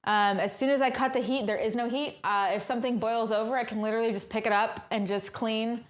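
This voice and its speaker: A woman's voice: on the high side, fairly even intonation, fast. A woman hosting a casual cooking livestream from her home kitchen, chatting along with lots of ums and uhs. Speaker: cooking stream host.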